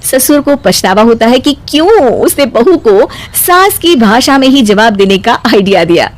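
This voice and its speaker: A woman's voice: a little high, big pitch swings, normal speed. A woman narrator reading a scripted domestic comic story.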